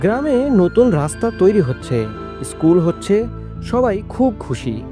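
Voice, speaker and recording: A man's voice: high-pitched, big pitch swings, measured pace, over music. A man giving scripted narration for a moral story, as the narrator of a cartoon.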